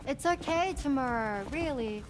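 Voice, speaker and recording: A woman playing a gentle, nurturing, soft-hearted character in a video game cutscene. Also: high-pitched, lively intonation, measured pace, noisy background. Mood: tender.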